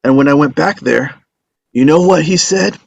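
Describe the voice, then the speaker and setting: A man's voice: fairly high pitch, normal speed, vocal fry, husky, no echo, muffled recording. An actor in a script table read playing an ailing old father, a stubborn, folksy teller of tall tales who dodges with jokes. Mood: playful. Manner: folksy, evasive, joking storyteller.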